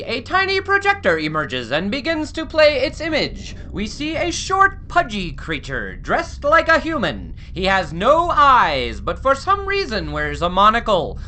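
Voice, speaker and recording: A woman's voice: a little high, bright, forceful tone, a little hiss or hum. A woman narrating a scripted audio drama with theatrical, suspenseful storytelling.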